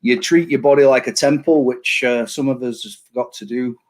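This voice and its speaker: A man's voice: medium pitch, fairly quick. A man hosting a casual online interview show, chatty and enthusiastic, with plenty of fillers. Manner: chatty, hypes the guest, filler-heavy. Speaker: show host.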